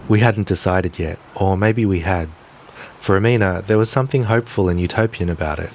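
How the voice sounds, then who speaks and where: A man reading his own short story aloud in a measured, quiet literary style. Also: deep voice, a little hiss or hum.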